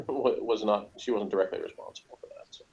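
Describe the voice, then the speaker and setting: A man's voice: fairly low pitch, fairly quick, darkish tone, husky. A man in casual review chat, rambling and opinionated, as a podcast reviewer. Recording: dull, muffled lo-fi sound.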